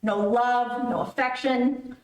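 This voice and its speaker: Female actor as whiny, nagging wife, old-time radio comedy. Higher voice, distant from the mic. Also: fairly even intonation, slow pace. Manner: whiny, reproachful. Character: nagging, self-pitying wife in a bickering comedy marriage. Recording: echoey, distant streamed stage recording.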